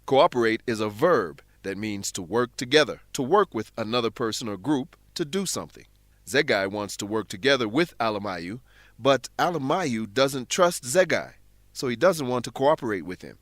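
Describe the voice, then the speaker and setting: Very animated male educational radio host. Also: mid-range voice, normal speed, no echo, studio quality. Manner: instructional, explanatory. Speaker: host and English teacher. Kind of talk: scripted narration and vocabulary lesson.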